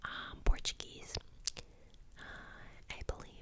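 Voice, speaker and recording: A woman in her twenties from the United States, whispering an ASMR question-and-answer session in a chatty way with lots of "um" and "like". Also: takes it slowly. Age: 20s. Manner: soft unvoiced whisper, chatty with many fillers. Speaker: ASMR channel host.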